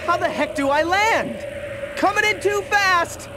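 A man's voice: extremely high pitch, measured pace, noisy background. A man playing an earnest, plucky young dragon hero in a video game, boyish, with dry retorts. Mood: panicked. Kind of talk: video game cutscene acting. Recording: music behind the voice.

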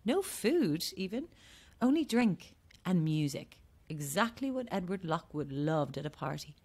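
A woman's voice: lowish voice, big pitch swings, a little slow, a little mellow, exaggerated voice. A blithely unhinged, macabre woman in an audio horror comedy. She is arch and flippant about morbid things, her intonation swinging widely. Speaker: actor in an audio drama.

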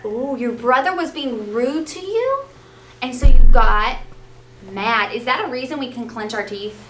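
A woman with a high, bright voice leads a read-aloud lesson for young children. She is animated, checking in often with questions. The recording is roomy, picked up from a distance. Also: measured pace. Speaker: elementary school teacher. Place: school classroom, children seated on the floor. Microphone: distant camera. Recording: roomy, distant, faint classroom noise.

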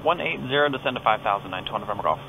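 American pilot over clear cockpit noise. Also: male voice, fairly low pitch, racing. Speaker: pilot narrating a flight. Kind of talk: casual cockpit vlog mixed with clipped radio calls. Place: cockpit of a small turboprop plane in flight. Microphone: aviation headset. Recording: steady aircraft drone behind headset audio.

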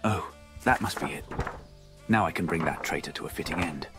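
A man voicing a gruff half-wolf knight in a comic dub, earnest and dim-witted, with solemn, old-fashioned phrasing. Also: sits low, brisk, over music, lightly acted. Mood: stern, businesslike. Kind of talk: dramatic comic dub acting.

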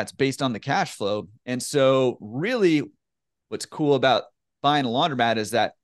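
A man in his thirties, a guest on a video call, answering in a relaxed, conversational way full of "you know" fillers. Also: medium pitch, no room sound, clean studio sound. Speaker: laundromat owner, guest. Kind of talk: casual interview answer. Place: home room with bookshelf. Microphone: headset with desk mic on video call.

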